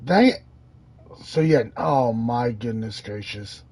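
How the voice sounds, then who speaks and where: A man's voice: medium pitch, lively intonation, takes it slowly, darkish tone. A man doing casual live game commentary, talking to himself as he plays. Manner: talks to himself while playing. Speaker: game streamer.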